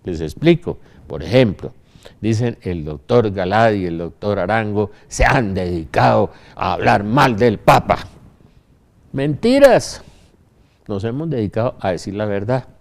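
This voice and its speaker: A man's voice: lowish voice, lively intonation, measured pace, gravelly. An older man with a Colombian accent giving an informal religious talk in an anecdotal, emphatic manner, often asking rhetorical questions. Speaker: religious speaker.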